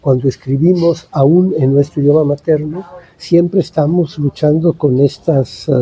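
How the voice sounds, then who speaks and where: A man in his seventies, a native Italian speaker, giving thoughtful, measured interview answers with frequent pauses. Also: fairly high pitch, steady tone, mellow, low-quality recording. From Italy. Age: 70s. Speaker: guest writer.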